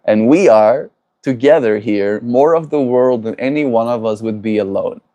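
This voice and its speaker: A man's voice: medium pitch, normal speed, warm tone. A man from South Africa hosting a livestream, talking reflectively with his viewers and often tagging his points with questions. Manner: reflective, keeps adding tag questions. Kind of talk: casual livestream chat, answering viewers.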